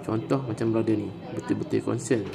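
A man in his thirties with a northern Malaysian Kedah accent, narrating a casual vlog in a gravelly voice. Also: fairly low pitch, steady tone, fairly quick, a crowd in the background. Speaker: vlogger. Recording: music playing over the voice.

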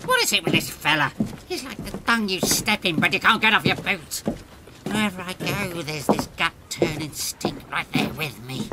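A man's voice: sits high, very animated, moderate pace, heavy background noise. A British man playing a cunning, pompous medieval lord in a comedy audio drama, sneering and exasperated. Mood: disgusted.

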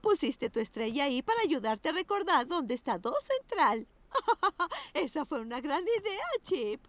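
A woman's voice: high-pitched, big pitch swings, unhurried, no echo. A woman voicing a kind female cockatoo piano teacher in a cartoon, patient and encouraging. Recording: studio voice with children's music behind.

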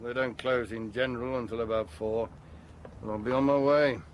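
A man's voice: medium pitch, fairly even intonation, normal speed, natural voice. Man acting glum, hard-done-by police inspector. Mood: resigned, aggrieved. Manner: terse, resigned, aggrieved. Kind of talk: British radio drama acting. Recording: radio drama with faint ambient noise.

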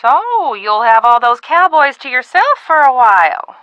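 An actress playing a fussy older aunt who runs a ranch in a video game, genteel and stern, often calling people dear. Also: female voice, high voice, sing-song, a little slow, no room sound, dull audio. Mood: chatty. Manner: prim, genteel scolding with frequent "dear".

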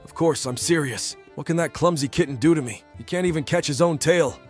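A man voicing a polite but boastful, quietly exasperated male dog in a cartoon comic dub. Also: a little high, a little fast, breathy edge, faint music behind. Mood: boastful, mocking. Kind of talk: comic dub character acting.

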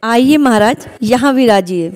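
A woman playing a gracious, hospitable but gently firm noble grandmother in a radio drama. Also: on the high side, expressive, unhurried. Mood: welcoming.

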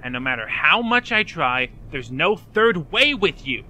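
Guilt-ridden, anxious young man, bright high voice, acted by a man. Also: very animated, measured pace, slight background noise, heavily acted, rough recording. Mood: ashamed, confessing. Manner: hesitant, stammering, earnest. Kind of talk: fan dub voice acting, dramatic dialogue.

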